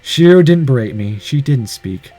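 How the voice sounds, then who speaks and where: A man's voice: medium pitch, lively intonation, normal speed, warm tone. A man reading a visual novel aloud as dramatic narration, breaking off for sarcastic, profane casual asides.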